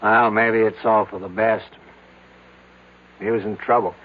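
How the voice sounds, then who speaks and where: An actor playing a cool, skeptical, matter-of-fact brother in an old-time radio drama. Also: male voice, lowish voice, level tone, measured pace, lightly acted. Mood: grave, detached. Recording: tinny phone-like vintage radio.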